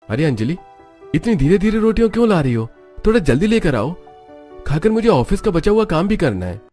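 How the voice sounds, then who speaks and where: A man voicing an impatient young male family member in an animated story. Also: sits high, fairly quick, soft background music. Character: impatient, reluctant young male relatives at dinner.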